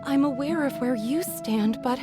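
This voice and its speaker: A woman's voice: high voice, normal speed, over loud music, natural voice. An actress playing a timid, wary young village woman in a video game, polite and guarded with a hesitant, nervous manner. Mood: cautious, hopeful. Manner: hesitant, nervous, self-correcting.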